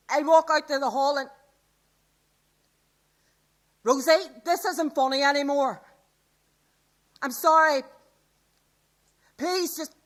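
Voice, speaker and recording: Anxious, high-voiced woman. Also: fairly bright tone. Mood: pleading. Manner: exasperated, pleading. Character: anxious, scolding young woman sheltering in a polluted city. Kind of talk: radio drama acting.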